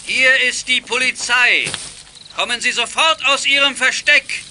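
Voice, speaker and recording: A stern would-be policeman in a youth radio play. The voice is extremely high and piercingly sharp, calling out orders through a megaphone. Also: unhurried, slight background noise, heavily acted. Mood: stern, commanding. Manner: commanding, calling out. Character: boy posing as a stern policeman, shouting orders. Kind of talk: 1980s German youth radio play acting.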